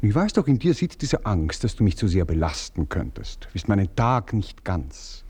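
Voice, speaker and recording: A wheedling baron. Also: male voice, fairly low pitch, big pitch swings, normal speed, darkish tone, husky. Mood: coaxing. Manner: coaxing, wheedling, self-pitying. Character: self-absorbed male nobleman, pleading and evasive. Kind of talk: radio play acting.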